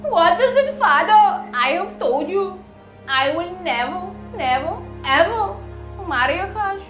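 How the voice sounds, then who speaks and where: A very high, shrill voice with an Indian accent, a defiant young daughter. She pleads and scolds melodramatically. The room is echoey, with music clearly behind. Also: lively intonation, measured pace. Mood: defiant, pleading. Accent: put-on Indian.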